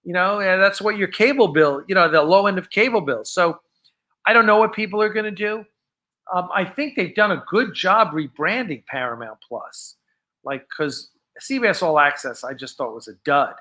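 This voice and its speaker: A man with an American accent hosts a casual livestream in a high, bright voice. He talks off the cuff, rambling and halting, often letting a thought trail off before starting on the next. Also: expressive. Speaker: host of a live fan show. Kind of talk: casual unscripted livestream chat.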